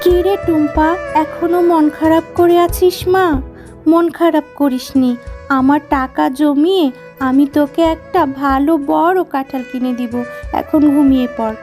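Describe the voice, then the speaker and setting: A woman voicing a poor, loving animal mother in a children's cartoon, tender and coaxing as she gently admonishes. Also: sits high, measured pace, faint music behind.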